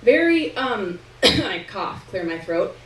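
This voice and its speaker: A woman giving an expressive first-person reading of a novel chapter at a live event, with dramatic pauses and shifting emphasis. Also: medium pitch. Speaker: reader performing a novel chapter to an audience. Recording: roomy, distant mic, faint noise.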